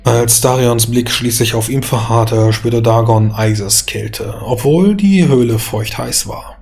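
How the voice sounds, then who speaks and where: A man reading scripted dark fantasy narration as a storyteller, measured and solemn. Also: mid-range voice, creaky, breathy edge. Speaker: storyteller, game master.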